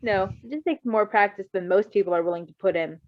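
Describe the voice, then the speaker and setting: A woman voicing a calm, curious adult male superhero with composed, precise and formal phrasing in an amateur fan fiction reading. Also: mid-range voice, a little fast, highs cut off, natural voice.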